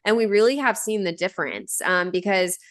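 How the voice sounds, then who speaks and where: A woman's voice: mid-range voice, fairly quick. A woman in her thirties, a company founder answering interview questions in an earnest, conversational way, with many fillers and restarts. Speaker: podcast guest, company founder.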